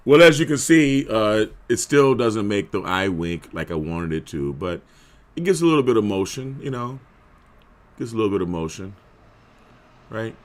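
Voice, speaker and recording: A man with a hoarse voice talking casually through a live tutorial, clearing his throat often and filling with "uh". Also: lowish voice, lively intonation, moderate pace. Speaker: livestream host.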